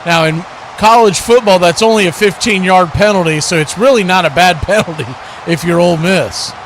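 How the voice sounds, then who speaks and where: A man's voice: high-pitched, background noise. A man hosting a live stream, giving play-by-play commentary on American football. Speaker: play-by-play sports host.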